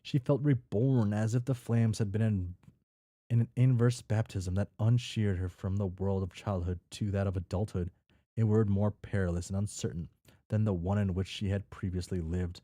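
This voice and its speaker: A man's voice: lowish voice, fairly even intonation, moderate pace, warm tone, no echo. A man casually reading a story aloud with off-the-cuff commentary, tripping over and repeating words and swearing freely. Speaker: reader reacting to a fan fiction.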